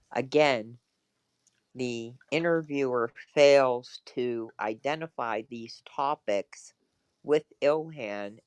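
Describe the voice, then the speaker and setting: A woman hosting a casual political discussion, speaking in a halting, deliberate way. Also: a bass voice, clear, resonant tone, dry recording. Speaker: talk show host.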